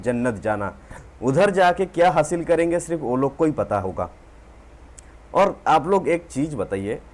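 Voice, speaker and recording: A man's voice: on the high side, darkish tone. A man hosting a reaction channel, giving an opinionated commentary straight to his viewers. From India. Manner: opinionated, addresses viewers directly. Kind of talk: commentary monologue.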